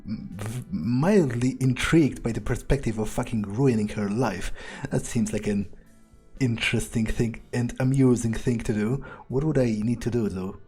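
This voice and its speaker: A man plays a cynical vampire in a video game, musing aloud in a mellow, dark voice. He is sardonic and sweary, speaking haltingly over faint music. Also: mid-range voice, husky, lightly acted. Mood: amused, scheming. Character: cynical, amused, ruthless male vampire player character. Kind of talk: improvised in-character video game roleplay.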